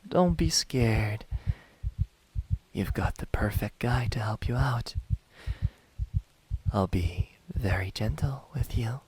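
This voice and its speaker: A man playing a smug, menacing young demon hunter in an ASMR roleplay, taunting softly and deliberately, often close to a whisper. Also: medium pitch, slightly rough, low-quality recording. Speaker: amateur voice actor.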